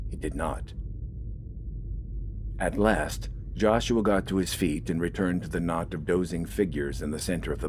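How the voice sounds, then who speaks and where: A man's voice: deep voice, noisy background. A man reading scripted, dramatic fiction as an audiobook narrator.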